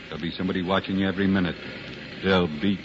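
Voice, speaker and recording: An actor playing a dim, bullying gangster boss with halting, rough speech in 1940s-50s radio drama style. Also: male voice, sits low, fairly even intonation, natural voice. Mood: menacing. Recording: dull, noisy old radio recording.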